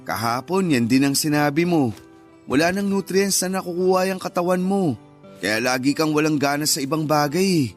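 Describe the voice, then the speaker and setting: Radio drama role: worried, pleading husband played by a man, fairly high male voice, earnest, music underneath. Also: moderate pace, close to an everyday voice. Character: grieving young husband, patient, worried, pleading. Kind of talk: Filipino radio drama acting.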